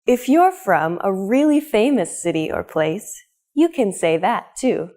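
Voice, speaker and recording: Slow, lively woman teacher. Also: mid-range voice. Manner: clear, upbeat, slow modeling of example phrases. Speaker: English teacher. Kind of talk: scripted language lesson.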